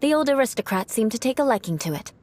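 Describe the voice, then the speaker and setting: A woman voicing a proud, aloof young noblewoman knight who speaks in a cool, formal, deadpan way. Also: a little high, quick pace. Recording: game cutscene with faint music.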